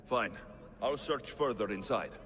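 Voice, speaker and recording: An actor playing a stoic, wry ancient Greek mercenary with a curt, sceptical way of talking in a video game. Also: male voice, medium pitch, unhurried, a bit bright, big-room sound, natural voice. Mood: matter-of-fact. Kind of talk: video game cutscene acting.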